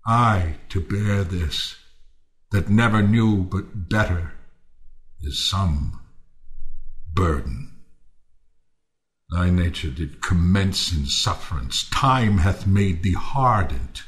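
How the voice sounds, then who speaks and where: A man's voice: sits low, animated, takes it slowly, pauses often. An actor playing a bitter, ruined Athenian nobleman turned misanthrope in a Shakespearean audio play, theatrical and scornful. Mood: bitter, wounded.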